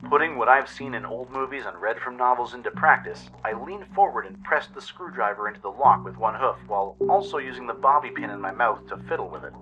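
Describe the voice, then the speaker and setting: A man's voice: mid-range voice, lively intonation, a little fast, few pauses, lo-fi sound. A man reading scripted first-person story narration for a radio play. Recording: music bed under produced voice-over. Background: music.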